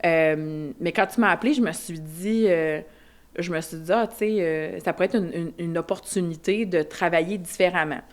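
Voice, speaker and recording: A woman's voice: lowish voice, moderate pace. A woman in her forties with a Québécois French accent, talking candidly as a podcast guest, with many fillers and restarts. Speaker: insurance advisor guest. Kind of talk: casual podcast conversation.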